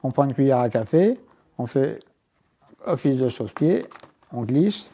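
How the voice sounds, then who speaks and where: Guy with a mellow voice, middle pitch, walking through a product demo bit by bit. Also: expressive, unhurried. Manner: explanatory, step-by-step, with asides. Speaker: inventor and guest demonstrating his product. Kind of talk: hands-on product demonstration in an interview.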